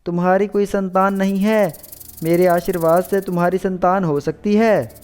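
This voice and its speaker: A man voicing a magical shape-shifting female serpent, beseeching and gracious, with drawn-out phrasing, in an animated story. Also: high voice, smooth, voice pushed up, close to an everyday voice. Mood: promising.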